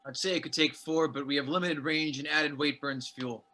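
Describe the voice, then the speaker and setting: A man's voice: a little high, steady tone, moderate pace. A man playing a tough, practical survivor who speaks bluntly in a horror drama table read. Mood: cautious, practical. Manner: blunt, commanding, forceful. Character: tough, practical male survivor, gunman and pilot.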